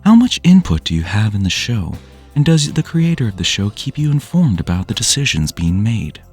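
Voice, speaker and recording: A man's voice: fairly low pitch, very animated, moderate pace, a little mellow, slightly rough, soft background music. A man reading out scripted interview questions as the interviewer.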